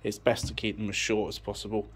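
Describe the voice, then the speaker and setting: Lower-pitched man explaining tech informally. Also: monotone, fast talker, husky. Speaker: audio technician presenting a tech channel. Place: indoors, beside an amplifier rack.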